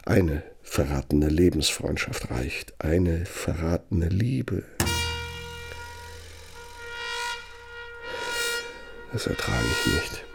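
An actor playing a pompous, brooding older architect in a radio play, with insinuating, theatrical delivery and a gravelly, husky voice. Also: male voice, low-pitched, wildly swooping pitch, slow, faint music behind, heavily acted. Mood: bitter, threatening. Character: self-important older male architect, insinuating, bitter, brooding. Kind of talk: German radio play acting, monologue and dialogue.

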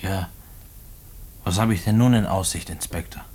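A condemned man in a post-war radio drama. His low voice is rough and husky, and he speaks in broken, searching phrases, brooding and desperate. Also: a little mellow. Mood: musing, resigned. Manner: halting, broken phrases with repeats. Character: condemned murderer, husband and father, brooding and desperate.

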